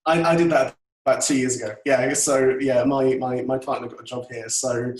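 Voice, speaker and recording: A man's voice: mid-range voice, fairly even intonation, normal speed. A middle-aged man from Yorkshire with an English accent, chatting as an interview guest in a hesitant, thoughtful way. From Yorkshire, England. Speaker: podcast guest, photographer. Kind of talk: casual interview chat. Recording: roomy echo, distant from mic.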